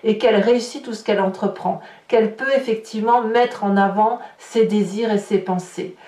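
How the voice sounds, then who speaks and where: A woman giving an unscripted tarot reading as a guidance monologue, halting and often restarting as she searches for words. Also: medium pitch, steady tone, warm tone. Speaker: tarot card reader. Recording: roomy echo, distant from the mic.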